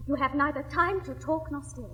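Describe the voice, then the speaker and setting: An actress playing a brisk, practical young married lady in a classic stage comedy, speaking in an urging, lively way. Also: high-pitched. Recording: reverberant old recording, faint hiss.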